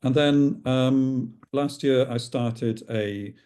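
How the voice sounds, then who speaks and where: Halting, mellow-voiced man. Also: medium pitch. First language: Welsh. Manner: halting, with many fillers and restarts. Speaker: clinical researcher. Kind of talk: recorded talk.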